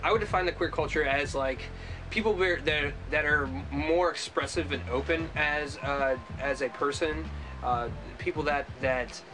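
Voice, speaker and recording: A man's voice: a little high, moderate pace, low-quality recording. A man in his twenties, a student giving informal interview answers. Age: 20s. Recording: music under the voice.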